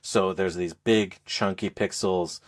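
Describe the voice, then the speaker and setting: Low-voiced man chatting about his hobby. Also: steady tone, slow. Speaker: podcast co-host. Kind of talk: casual game review chat.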